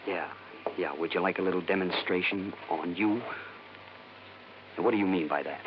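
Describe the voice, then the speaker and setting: An actor playing a resentful, hot-tempered grown son, belligerent and sneering, in old radio style. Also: male voice, deep voice, normal speed, close to an everyday voice. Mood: threatening. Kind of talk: 1950s radio acting. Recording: muffled, noisy old radio recording.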